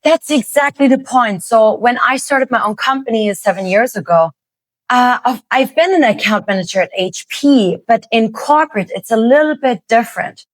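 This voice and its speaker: A woman's voice: on the high side, expressive, moderate pace, dry recording, poor audio. A woman from Germany, a native German speaker, chatting informally in English as an interview host. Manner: informal, often says "like". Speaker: podcast host, business coach. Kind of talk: conversational interview.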